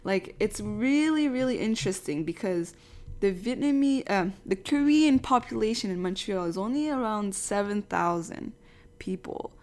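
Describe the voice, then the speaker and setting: A woman's voice: medium pitch, expressive, moderate pace, a little mellow. Earnest woman with frequent fillers. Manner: earnest, filler-heavy, searching for words. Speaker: youth group member. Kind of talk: casual discussion.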